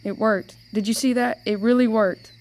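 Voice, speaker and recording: A woman's voice: a little high, steady tone, moderate pace, darkish tone, natural voice. A young woman acting a playful, bossy and protective older sister in an amateur radio play. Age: teenager or young adult.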